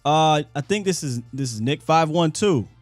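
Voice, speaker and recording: A man hosting a casual sports call-in show, slangy and laid-back, his voice going hoarse. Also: a little high, expressive, moderate pace, no room sound, soft background music. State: voice getting hoarse. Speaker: sports talk host.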